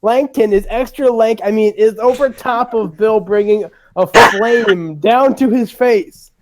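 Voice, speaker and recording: Casual narrator for comedic script reading, unhurried, playful, prone to mock-exasperated asides. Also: mid-range voice, heavy background noise. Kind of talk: casual dramatic reading with running commentary.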